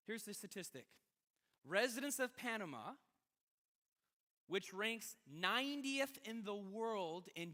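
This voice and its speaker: High, bright-voiced male preacher in a hall. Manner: conversational and emphatic, with rhetorical questions. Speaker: pastor. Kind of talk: sermon. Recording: reverberant hall.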